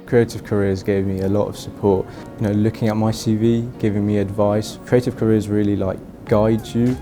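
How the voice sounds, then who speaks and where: A young man from Portugal speaks in a low, level voice about his studies, steady and earnest with a few "um" fillers. Background music plays clearly beneath him. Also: normal speed. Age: young adult. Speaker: student. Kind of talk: interview testimonial. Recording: music bed under voice.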